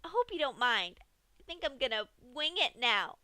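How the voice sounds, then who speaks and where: A woman's voice: high-pitched, sing-song, normal speed, bright, forceful tone, no room sound, pitched above the performer's own voice, full character voice. A woman voicing a cheerful, sadistic female pink pony in a bubbly, sing-song way. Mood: gleeful. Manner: chirpy, sing-song, unbothered.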